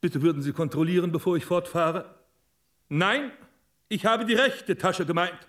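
An actor playing a suave, commanding stage hypnotist and mind reader in a radio drama, speaking formally and deliberately. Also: male voice, high-pitched, a bit bright. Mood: assured, commanding. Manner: deliberate, formal, polite but imperious. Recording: piano music behind the voice.

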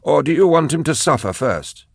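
Guy putting on a raspy high bitter old sorcerer, all over the place pitchwise. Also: very animated, moderate pace, dry recording, studio quality, exaggerated voice. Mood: cold resolve. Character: bitter caged male sorcerer, weary and vengeful. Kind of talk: audiobook character voice.

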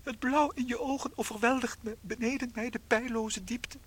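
A woman playing a tiny shrunken unfaithful husband in a radio play, theatrical, anxious and guilt-ridden, with a high husky voice. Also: steady tone, moderate pace, slight background noise, lightly acted. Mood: rapturous.

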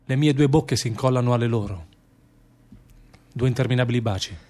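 A man acting in a radio drama as a doubting, haunted public prosecutor, speaking gravely in measured phrases. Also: mid-range voice, warm tone, close to an everyday voice. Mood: haunted, intense. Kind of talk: theatrical radio drama dialogue.

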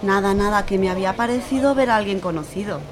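A woman's voice: mid-range voice, fast, natural voice. A woman playing an affectionate, placating young daughter in a radio drama. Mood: evasive. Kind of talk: amateur radio drama acting.

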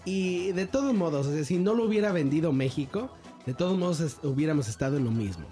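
A man's voice: a little high, expressive, quick pace, warm tone, faint music behind. A man with a Mexican accent co-hosting a casual chat, speaking in an opinionated, emphatic way. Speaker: podcast co-host.

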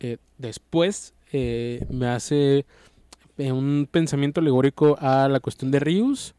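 A man's voice: medium pitch, takes it slowly, dark tone, clear, resonant tone, no room sound. A man in casual literary discussion, searching for words and restarting his sentences. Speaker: book club podcast co-host.

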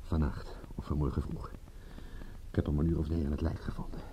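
A man's voice: a bass voice, level tone, a little fast, mellow, in a whisper. An actor playing a tough, impatient and shrewd male private detective in a radio drama, commanding and full of pointed questions. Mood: grim, subdued. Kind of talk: radio drama acting in Dutch.

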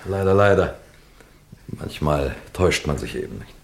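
A man's voice: extremely low pitch, animated, moderate pace, creaky, exaggerated voice. A man playing a wily, composed police detective in an old-fashioned radio crime play, courteous and teasing in a theatrical way. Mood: calm, taunting. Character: wily, composed male police detective telling his own case. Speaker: narrator and lead actor.

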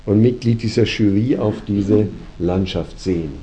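A German-speaking man with a low, very dark and mellow voice leads a talk like a lecture. He reasons step by step and often pauses on filler sounds. A faint noise sits under the recording. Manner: reflective, argumentative, hesitant with fillers. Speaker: host and company founder. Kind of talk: lecturing conversation.

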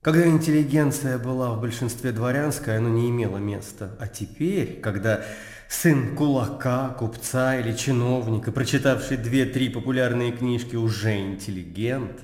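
An actor playing an earnest, scornful idealist intellectual of old Russia in a radio drama, speaking in a declamatory way. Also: male voice, medium pitch, normal speed, a little mellow, natural voice. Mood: earnest, pointed. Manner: declamatory, impassioned speechifying. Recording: echoey hall-like reverb.

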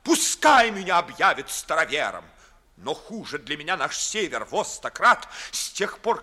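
A man's voice: on the high side, pushed and bright, heavily acted. An actor playing a disillusioned, bitterly witty young nobleman in a 1950s radio verse comedy, declaiming rhetorically.

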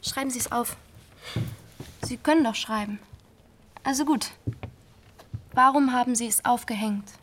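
An actress playing a young, brash gang member who speaks in blunt commands, in radio drama dialogue. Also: female voice, fairly high pitch, unhurried, pauses often, fairly bright tone, a little hiss or hum. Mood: blunt, bossy.

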